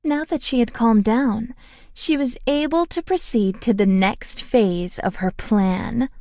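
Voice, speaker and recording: A woman voicing a cheerful, friendly schoolgirl in a video game, speaking in a sweet, gentle and deliberate way. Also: fairly high pitch, no room sound, clean studio sound, close to an everyday voice. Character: cheerful, friendly anime schoolgirl narrating a play. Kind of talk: game tutorial voice acting, storytelling.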